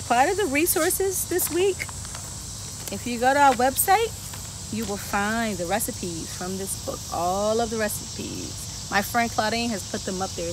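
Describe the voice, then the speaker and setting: A woman in her thirties with a somewhat high voice reads a picture book to young children, then walks them through a vegetable garden. She keeps asking them questions in a friendly teaching way. She was recorded outdoors by a camera a few feet away, with a steady hum of insects behind her. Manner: lively, talks straight to kids with questions. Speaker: garden educator reading to children. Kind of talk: children's story time read-aloud and garden tour. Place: outdoor garden, seated on a bench then walking among plants. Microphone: camera a few feet away, no visible mic.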